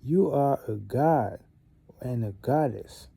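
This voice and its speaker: A man's voice: mid-range voice, slow, gravelly, slightly rough, dry recording. A young man from Gabon, a native French speaker, giving a casual motivational talk to camera, rambling with fillers and restarts. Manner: rambling, many fillers and restarts, animated on key points. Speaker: vlogger.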